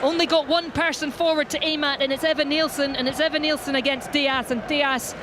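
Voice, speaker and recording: Play-by-play man, high and urgent, heavy stadium noise under him. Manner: rapid, rising excitement on attacks. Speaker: play-by-play commentator. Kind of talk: live football commentary. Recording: loud stadium crowd and noise. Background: loud stadium crowd.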